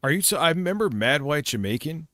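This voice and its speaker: A man's voice: a little high, sing-song, fast, bright, forceful tone, no room sound, studio quality. A middle-aged man streaming casual commentary, rambling and swearing as he reminisces. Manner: profane, meandering, reminiscing. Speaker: streamer reacting to another stream.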